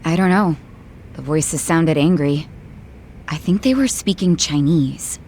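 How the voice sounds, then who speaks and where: An actress playing a blunt, plain-spoken young bar hostess in an English video game dub. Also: female voice, low voice, unhurried, darkish tone, a little hiss or hum. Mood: frank, uneasy.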